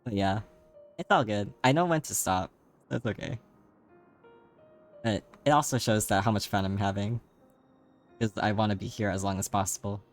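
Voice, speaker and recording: A man streaming live, chatting casually with a slightly raspy, tired voice and rambling, filler-heavy talk. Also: on the low side, normal speed, long pauses, soft background music. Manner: rambling, many fillers, laughs often.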